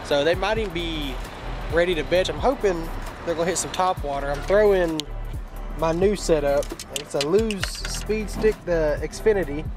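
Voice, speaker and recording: A man, chatting casually to camera for his fishing vlog outdoors, with relaxed fillers. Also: on the high side, moderate pace, music in the background. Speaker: fishing vlogger. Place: outdoors by a pond and in a yard. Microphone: action camera, head-mounted or handheld. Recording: faint outdoor noise.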